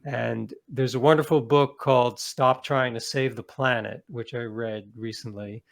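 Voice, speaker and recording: A man's voice: mid-range voice, steady tone, unhurried, creaky. A man speaking as an interviewed author, explaining ideas in a reflective, conversational way with small qualifying asides.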